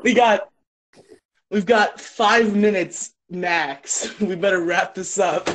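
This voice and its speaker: Slow-talking young man, giggly mock outrage. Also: sits high, fairly bright tone. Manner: laughs often, protests in mock outrage. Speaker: podcast co-host. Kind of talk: casual podcast banter. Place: home bedroom.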